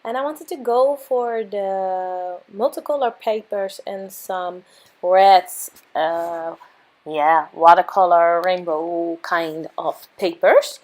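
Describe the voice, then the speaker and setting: A young woman with a hoarse, worn-out voice, casually narrating her craft process as a channel host, with frequent fillers and self-corrections. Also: lowish voice, slow, a little mellow.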